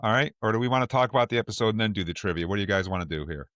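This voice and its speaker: A man's voice: lowish voice, expressive, rapid-fire, fairly bright tone, no room sound, clean studio sound. A man hosting a podcast, casually moderating a panel discussion and passing questions around. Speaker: podcast host.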